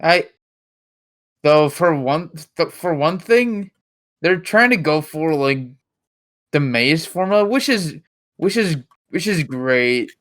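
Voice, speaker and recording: A teenage boy, a guest in a casual podcast chat, halting and searching for his words. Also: a little high, animated, fairly bright tone. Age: teens.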